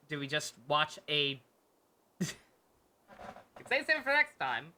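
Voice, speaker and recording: A man's voice: fairly high pitch, pushed and bright. A man streaming a video game, chatting informally and thinking aloud in a rambling way. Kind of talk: casual livestream chat over gameplay. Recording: game music and dialogue behind.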